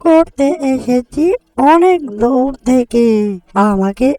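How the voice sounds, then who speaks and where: A woman voicing a meek, pleading mother bird in a cartoon, with a drawn-out theatrical delivery. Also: sits high, lively intonation, dark tone, no echo, faint music behind. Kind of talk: slow, theatrical cartoon voice acting.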